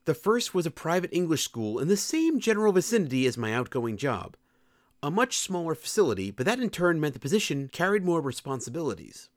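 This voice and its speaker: A man's voice: mid-range voice, dry recording, studio quality. A young man reading his own personal column aloud in a candid, earnest and reflective way. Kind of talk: scripted personal essay narration.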